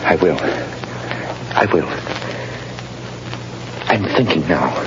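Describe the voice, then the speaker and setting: A man's voice: a bass voice, expressive, slow pace, heavily acted. A man playing a bitter, bewildered condemned prisoner in an old-time radio drama. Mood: straining, confused. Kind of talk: 1930s-40s radio acting. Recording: muffled, noisy old radio broadcast recording.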